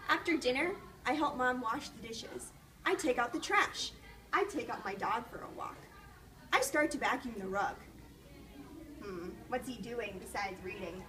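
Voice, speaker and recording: A woman with a high voice reads a story to children, teacher-style. She is expressive and encouraging. The mic is somewhat distant and picks up faint background noise. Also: a little slow. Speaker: student teacher reading aloud to children. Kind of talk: children's storybook read-aloud with questions.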